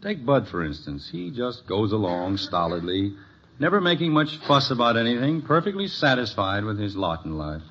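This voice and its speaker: A man's voice: fairly low pitch, big pitch swings, normal speed, fairly bright tone. An actor playing a wry, easygoing father in a 1950s radio sitcom, joking dryly. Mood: wry, musing. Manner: dry, joking. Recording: muffled old radio recording, faint noise.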